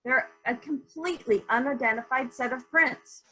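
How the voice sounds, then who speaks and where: Woman playing a bright, probing lady investigator. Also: high-pitched, moderate pace, rough recording. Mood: pointed, businesslike. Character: brisk, probing woman investigator questioning witnesses. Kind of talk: amateur dramatic reading of a murder mystery.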